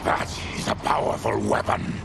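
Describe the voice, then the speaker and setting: A man's voice: normal speed, background noise, close to an everyday voice. A man playing a grave, stoic warrior in a video game, speaking tersely and formally. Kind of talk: dramatic video game acting.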